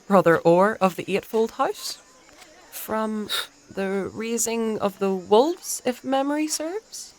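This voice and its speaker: A woman playing a wise, composed young ward-keeper in a dark fantasy audio drama, poised and gently coy. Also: a little high, slow, poor audio. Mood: reassuring, thoughtful.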